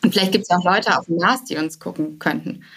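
A woman's voice: fairly low pitch, quick pace, fairly bright tone, slightly rough. A woman giving casual interview answers as a start-up founder, informal and hesitant, with English business words mixed in.

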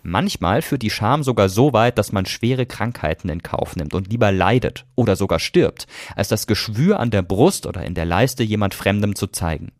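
A man's voice: fairly low pitch, lively intonation, normal speed, hardly any pauses, no echo, studio quality. A man hosting a feature programme, moving between scripted narration and interview questions. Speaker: podcast host.

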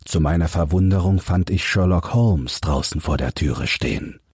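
A man's voice: deep voice, moderate pace, a little mellow, husky, no room sound, clean studio sound. A man giving a scripted literary narration of a detective story as an audiobook reader.

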